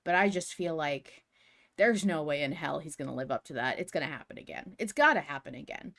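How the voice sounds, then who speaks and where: A woman's voice: deep voice, animated, fairly quick, creaky, a bit bright. A woman co-hosting a podcast, speculating in an informal, chatty fan discussion. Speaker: podcast co-host. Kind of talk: casual fan discussion of an audio drama.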